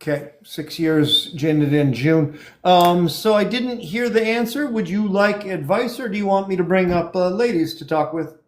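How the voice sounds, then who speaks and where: An energetic man hosting a live online dating show. His voice sits high for a man, and he talks in an upbeat, encouraging, chatty way as he greets viewers and interviews callers. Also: moderate pace, hardly any pauses. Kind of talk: live-stream chat, reading viewer comments.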